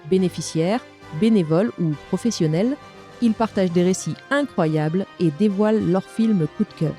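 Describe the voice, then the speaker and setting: A woman's voice: fairly low pitch, moderate pace, mellow, faint music behind. A woman hosting a podcast, presenting and interviewing in an articulate, careful way.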